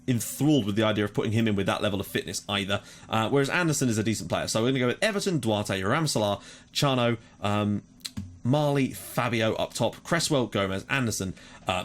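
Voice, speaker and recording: A man casually commentating on his football management game. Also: mid-range voice. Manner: chatty, excitable during goals. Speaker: let's play host.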